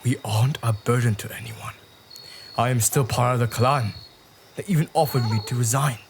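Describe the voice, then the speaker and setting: A man's voice: mid-range voice, fairly even intonation, normal speed, close to an everyday voice. A man playing a devoted, honour-bound, protective warrior father in an audio drama, with an earnest, emotive delivery. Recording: noisy recording with background ambience.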